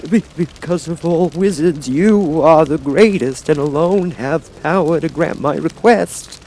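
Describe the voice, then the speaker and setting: Storybook lion, male narrator acting: raised pitch, loud, blustering, a coward eager to seem brave. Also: measured pace, a little hiss or hum. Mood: pleading, fearful.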